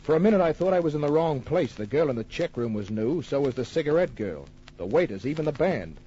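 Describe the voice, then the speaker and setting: An actor playing a wry, tough private detective narrating his case in an old-time radio drama, deadpan and wisecracking. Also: fairly high pitch, quick pace, breathy edge. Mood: wry, smitten. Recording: muffled old radio recording with music.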